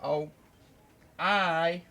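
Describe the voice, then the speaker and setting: A young man teaching an English lesson at a whiteboard, slowly repeating each phrase several times. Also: fairly high pitch, fairly even intonation, creaky, pushed and bright. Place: indoors at a whiteboard. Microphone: camera close by.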